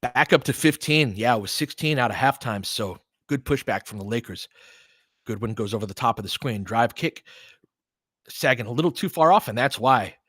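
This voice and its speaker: A man's voice: medium pitch, lively intonation, normal speed, fairly bright tone, no echo. A man hosting live basketball commentary as a partisan fan, mixing clipped play calls with conversational tactical breakdowns. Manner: partisan fan calling plays in short clipped phrases, explaining conversationally.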